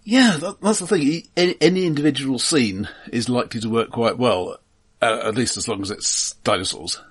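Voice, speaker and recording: Male podcast co-host, slightly rough voice, dry and opinionated, conversational film talk. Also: medium pitch, fairly quick. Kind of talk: casual film discussion.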